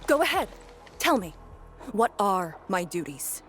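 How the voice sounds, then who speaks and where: A woman's voice: mid-range voice, big pitch swings, a little slow. A woman acting as a tense, self-blaming military task leader in a video game. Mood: defiant, curt.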